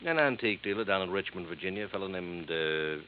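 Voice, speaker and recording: A man's voice: sits low, fast talker, lightly acted. An actor playing a fussy, worried, sardonic insurance claims man in a 1950s radio drama, talking emphatically and repeating himself. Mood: explaining, intent. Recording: muffled, noisy vintage radio recording.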